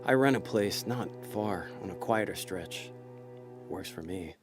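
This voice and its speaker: A man's voice: low-pitched, expressive, unhurried, over music. An actor playing a laid-back, cocky small-time con man in a noir audio drama, wry and slangy. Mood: wry, musing.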